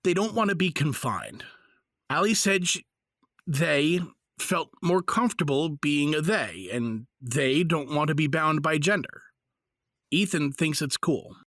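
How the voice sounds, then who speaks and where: A man's voice: fairly high pitch, lively intonation, measured pace, a bit bright, dry recording, clean studio sound, heavily acted. A man playing a supportive, earnest father in a fantasy audio drama, with a natural conversational delivery. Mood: awkward, explaining. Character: supportive, argumentative father held in a fantasy jail.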